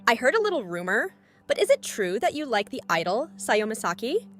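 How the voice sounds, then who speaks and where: Woman voicing poised cool beauty, composed, probing, clear bright edge, manga dub, light music bed. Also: a little high, sing-song, brisk. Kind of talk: animated comic dub acting. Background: background music.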